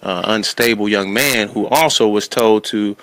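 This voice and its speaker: A man with a fairly low, bright and forceful voice hosts a casual interview. He talks emphatically and rambles, circling back to check that people follow. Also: a little slow, no echo, low-quality recording. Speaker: talk show host. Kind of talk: casual, animated conversational interviewing.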